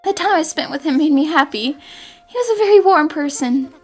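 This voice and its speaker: A woman's voice: high voice, expressive, brisk. A woman voicing a shy, self-deprecating, remorseful young countrywoman in an anime dub. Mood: wistful. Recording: background music under the dialogue.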